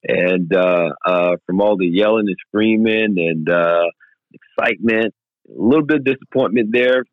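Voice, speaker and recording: Hoarse, raspy low-voiced man. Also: normal speed, dry recording, narrow, tinny audio. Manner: animated and emphatic, says "man" a lot. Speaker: podcast co-host. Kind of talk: casual sports chat.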